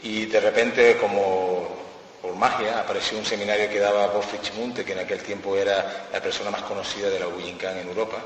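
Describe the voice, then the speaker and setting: A man, a martial arts instructor, answering interview questions in measured, reflective sentences for an interpreter. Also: lowish voice, flat delivery, far from the mic. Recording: echoey hall, off-mic, faint noise.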